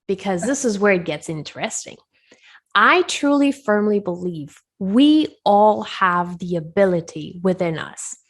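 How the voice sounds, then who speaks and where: A woman's voice: medium pitch, animated, unhurried. Woman with a Spanish and French accent. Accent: foreign, Spanish and French influenced. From Colombia and Switzerland. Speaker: guest, wellness coach. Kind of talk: conversational interview answers.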